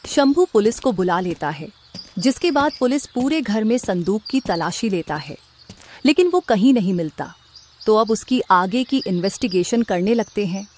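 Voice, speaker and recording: A woman's voice: mid-range voice, expressive, normal speed, a little mellow. A woman reading scripted narration for a cartoon story, with faint noise in the background.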